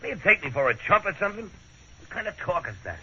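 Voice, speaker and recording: Sulky stubborn husband out of work, bright edgy voice, grumbles and snaps, old radio drama, dull lo-fi. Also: male voice, high-pitched, sing-song, slightly rough, heavily acted. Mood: angry. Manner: sullen, grumbling, quick to flare up. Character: bitter out-of-work young husband, stubborn and brooding. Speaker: lead character. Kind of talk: 1940s radio acting.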